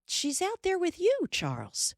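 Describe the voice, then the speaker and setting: A woman voicing a frightened pioneer mother who calls out in a high voice. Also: huge pitch swings, a little slow, vocal fry, dry recording, studio quality, heavily acted. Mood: frantic. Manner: high, calling out.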